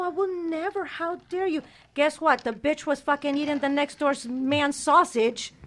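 A woman with a high voice talks crude and blunt on a talk show. She is brash and unfiltered when she tells her stories. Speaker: co-host. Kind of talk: raunchy casual chat.

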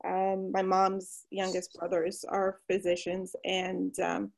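A woman physician who grew up in Pakistan and the United States, answering interview questions with many pauses and restarts. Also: mid-range voice, monotone, creaky. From Pakistan, raised in the United States. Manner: hesitant, many fillers and restarts, warms up when enthused. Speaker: physician guest.

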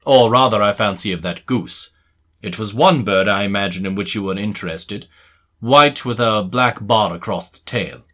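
A man playing a shrewd, courteous but commanding gentleman detective in a dramatised Victorian story, speaking precisely and formally with quiet mockery. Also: on the low side, moderate pace, natural voice. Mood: knowing, brisk.

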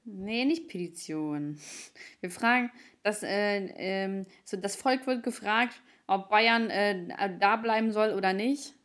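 A woman's voice: mid-range voice, slow pace, fairly bright tone. Playful young woman, teasing banter. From Ukraine. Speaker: podcast co-host. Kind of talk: casual chat.

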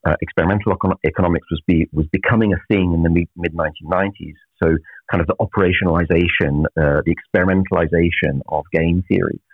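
A middle-aged man from Naples, a professor answering interview questions in a measured, reflective way with many filler pauses. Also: low voice, fairly even intonation, no room sound. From Naples, Italy. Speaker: professor, guest. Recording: tinny phone-like line.

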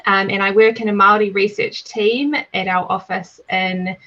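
A woman from New Zealand, a wetland ecologist, introducing herself from home in a friendly, relaxed way. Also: medium pitch, normal speed, dull audio. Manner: friendly, relaxed, some fillers. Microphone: video call.

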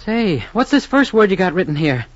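A flat, deadpan young husband. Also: female voice, medium pitch, normal speed. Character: plain, dull young husband songwriter. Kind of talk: 1940s radio comedy acting. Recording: dull lo-fi radio, off-mic.

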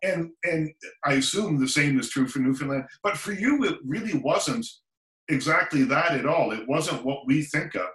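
A man's voice: on the high side, normal speed, fairly bright tone. Host guy from nova scotia, asks questions, lots of uhs, echoey sound. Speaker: interviewer host. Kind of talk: casual interview. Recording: roomy echo.

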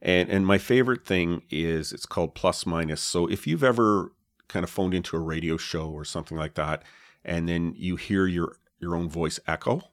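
A man with a low voice, co-hosting a podcast and explaining his gear in a relaxed way, with many fillers, on a dynamic mic with a pop filter. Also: moderate pace, no echo. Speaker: podcast co-host. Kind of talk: casual chat about podcast gear. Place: home podcast setup. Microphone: dynamic podcast mic with pop filter and foam cover.

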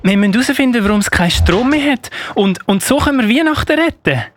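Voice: mid-range voice, expressive, fast, slight background noise, natural voice. A performer playing a timid young boy, the hesitant little brother in an amateur radio play, stammering and repeating words. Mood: eager, unsure.

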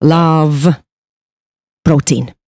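A woman from Switzerland, a sommelier, explaining wine pairing in a measured way with pauses. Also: low voice, fairly even intonation, warm tone, no room sound, clean studio sound. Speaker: sommelier guest.

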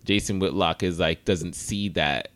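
A man's voice: sits low, measured pace, a bit bright, no echo. A man hosting a casual sports talk podcast, speaking in a conversational, opinionated way. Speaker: podcast host.

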